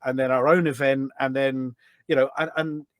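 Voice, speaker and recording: A middle-aged man chatting casually as a podcast guest, telling stories in an animated way. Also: medium pitch, normal speed, clean tone, no room sound. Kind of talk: casual anecdotal chat.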